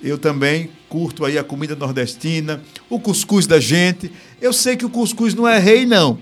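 Northeastern Brazilian male host, pitched a bit high, chatty live-stream energy. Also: normal speed, soft background music. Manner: lively and chatty, with playful regional exclamations. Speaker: host of a live business talk show. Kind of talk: casual live-stream chat.

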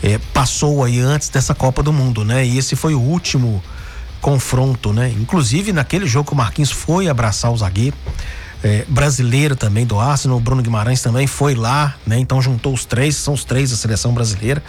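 A man's voice: medium pitch, fairly quick, faint music behind. A man from Brazil hosting a sports radio show, talking through football news in a chatty way, often tagging his sentences with questions. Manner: chatty, frequent "né?" tags and restarts.

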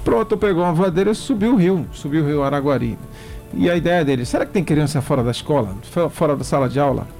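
A man's voice: on the high side, fast talker, darkish tone, soft background music. A man hosting a talk show, chatting casually with guests and rambling through memories with many restarts. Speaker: radio or talk show host. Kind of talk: casual interview chat.

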